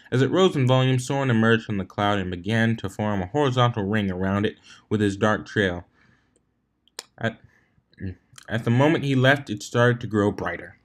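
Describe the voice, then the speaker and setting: A man's voice: fairly low pitch, a bit bright. Man narrating a story, amateur read-aloud. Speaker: fan fiction reader, narrator.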